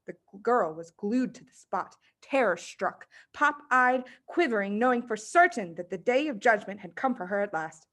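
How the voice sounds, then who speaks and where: A woman reading a children's book aloud as narrator, in an expressive storytelling style. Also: fairly high pitch, normal speed, hardly any pauses. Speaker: audiobook reader.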